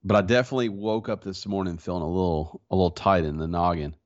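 Deep-voiced stuffy man, dull recording. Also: steady tone, fast talker. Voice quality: congested, stuffy nose. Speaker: podcast co-host. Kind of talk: casual chat. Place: small home office in a converted barn. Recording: dull, lo-fi sound.

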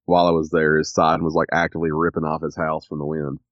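A man co-hosting a podcast, sniffly and a little raspy from allergies, rambling with many ums. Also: very deep voice, completely flat delivery, quick pace, no echo, lo-fi sound. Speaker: podcast co-host. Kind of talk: casual chat.

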